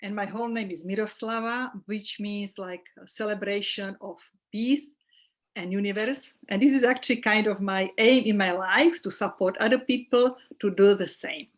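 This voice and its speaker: A woman's voice: medium pitch, moderate pace. A middle-aged woman from Slovakia with a Slovak accent, a holistic well-being coach talking earnestly as a guest in an online interview. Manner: earnest, with small restarts and fillers. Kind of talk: casual interview chat.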